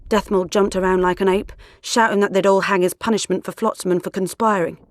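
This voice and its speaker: A woman's voice: medium pitch, steady tone, a little fast, lightly acted. A woman acting a shaken young soldier giving a grim account in a fantasy video game. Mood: shaken, subdued.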